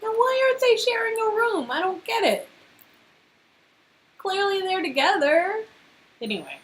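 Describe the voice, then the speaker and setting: A woman's voice: very high-pitched, big pitch swings, measured pace. A woman chatting casually and playfully as she reacts to a story game she is playing with a co-host. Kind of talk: casual banter during a game playthrough.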